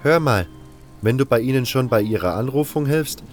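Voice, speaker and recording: A man's voice: medium pitch, lively intonation, moderate pace, faint music behind, natural voice. A man voicing an eager, bookish young fire mage who lectures earnestly in a wordy way, in a fantasy audio drama.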